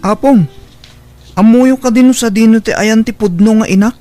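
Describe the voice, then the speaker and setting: A woman's voice: a little high, normal speed, mellow, soft background music, lightly acted. Woman acting sullen Filipino schoolboy son. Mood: questioning. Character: sullen student son, ashamed of his mother. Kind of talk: Ilocano radio drama acting.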